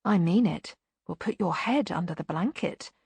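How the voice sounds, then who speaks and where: A woman voicing a brisk, stern governess in a storybook, speaking quietly and firmly with dry menace. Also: on the low side, expressive, gravelly, slightly rough, no echo, exaggerated voice. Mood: firm warning.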